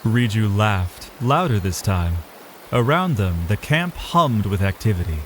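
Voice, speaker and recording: A man narrating a dramatic story in a solemn, theatrical, deliberate way. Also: fairly low pitch, expressive, slight background noise. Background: music.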